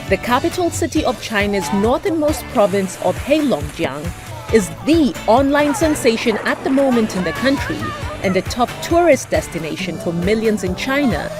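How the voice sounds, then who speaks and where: A woman reporter narrating a scripted news feature in a lively, animated way. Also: a little high. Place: outdoors in a snowy city.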